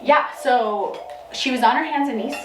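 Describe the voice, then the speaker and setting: A woman with a soft, gentle voice casually explaining and telling stories to a group as a midwife. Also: high-pitched, moderate pace, over music. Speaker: midwife leading a group prenatal session. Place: midwife care space with group gathered. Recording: echoey, noisy room, distant mic.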